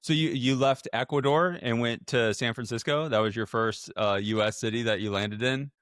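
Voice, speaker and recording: A man's voice: medium pitch, fairly even intonation, moderate pace, fairly bright tone, clean tone, no room sound, clean studio sound. A man hosting a podcast, chatting casually with a guest and responding in informal, affirming phrases. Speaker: podcast host, interviewer. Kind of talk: casual conversational interview.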